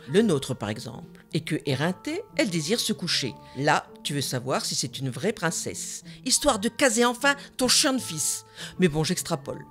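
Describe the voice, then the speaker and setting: A woman voicing a pushy, scheming queen mother who talks in a bossy, theatrical way in a cartoon. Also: fairly low pitch.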